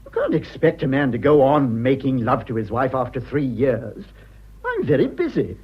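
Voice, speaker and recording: Petulant posh British husband, fussy and patronising, very animated pitch, period radio drama, slightly muffled sound. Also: male voice, moderate pace, slightly rough. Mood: hurt, pleading. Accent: British upper-class RP. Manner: fussy, spluttering, condescending. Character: prim, petulant upper-class husband, politician with taste for decoration.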